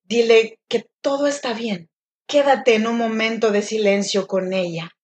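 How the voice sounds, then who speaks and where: A woman from Oaxaca with a Mexican accent hosting a solo podcast, speaking gently and encouragingly straight to the listener. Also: on the high side, fairly even intonation, a little slow. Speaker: podcast host. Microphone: home podcast mic.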